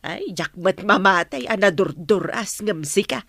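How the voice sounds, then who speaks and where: A woman's voice: mid-range voice, expressive, unhurried, vocal fry, fairly bright tone, no room sound, exaggerated voice. Blunt, chiding mother, close and dry. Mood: chiding, curious. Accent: Ilocano. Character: blunt, gossipy mother of a grown son. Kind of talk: radio drama acting.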